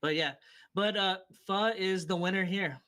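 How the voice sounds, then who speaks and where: A man's voice: high voice, normal speed, pushed and bright, smooth. A man co-hosting a live radio talk show, joking and reading chat comments in a casual, playful way. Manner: playful, laughs often, reads chat comments aloud.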